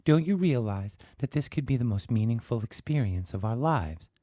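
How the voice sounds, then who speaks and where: A man's voice: mid-range voice, animated, moderate pace, mellow, no echo, clean studio sound, voice pushed up, natural voice. A man voicing a cold, self-righteous teenage girl who lectures in a cool, preachy way.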